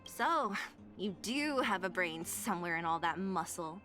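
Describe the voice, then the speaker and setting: A woman playing a sharp, skeptical young companion in a video game, wry and blunt with a teasing edge. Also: lowish voice, expressive, measured pace, faint music behind.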